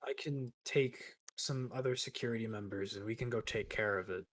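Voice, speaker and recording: A man's voice: on the low side, moderate pace, fairly bright tone. A man playing in a tabletop roleplaying game, improvising in character in an earnest, terse way.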